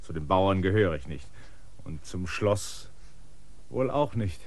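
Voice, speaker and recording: This is a weary stranger from a classic radio play, with a lowish voice and lively, rising and falling delivery. He talks in a tired, suspicious way, then turns commanding. His orders are firm. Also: slow pace, heavily acted. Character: weary stranger, a land surveyor, middle-aged man. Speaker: lead actor in a radio play. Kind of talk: classic German radio drama acting.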